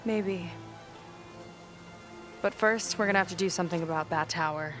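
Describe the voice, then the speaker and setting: A woman's voice: fairly low pitch, moderate pace, close to an everyday voice. Woman doing a sure-of-herself young captain lady, bossy but easy, game music going. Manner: assured, takes charge. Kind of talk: video game cutscene acting. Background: game score music.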